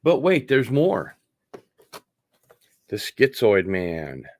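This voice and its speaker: A man's voice: fairly low pitch, sing-song, extremely slow, a little mellow, no echo. A man hosting a casual unboxing livestream, rambling along with wry asides. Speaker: host of an online show.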